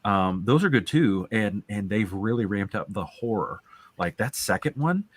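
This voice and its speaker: A man in his thirties chatting casually on a video call as a co-host, in a laid-back, halting, informal way. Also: fairly low pitch, lively intonation, no echo. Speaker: guest co-host on a live show. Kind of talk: casual chat, reminiscing.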